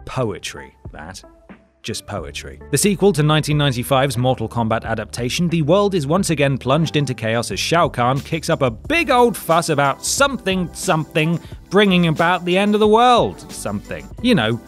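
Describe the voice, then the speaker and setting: A man's voice: on the high side, very animated, moderate pace. A man with a British accent reading a scripted countdown as host, sardonic and mock-dramatic with dry asides. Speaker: video host narrator. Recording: voice-over with music behind. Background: music.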